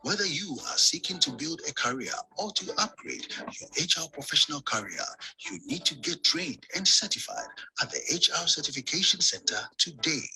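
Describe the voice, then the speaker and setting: A man's voice: a little high, pushed and bright, rough recording. A man reading a polished, persuasive advertisement voice-over. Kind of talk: promotional ad read.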